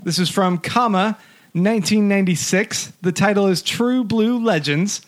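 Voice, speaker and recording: A man's voice: high voice, moderate pace. A man hosting a casual podcast, upbeat and promotional, chuckling now and then. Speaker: podcast host.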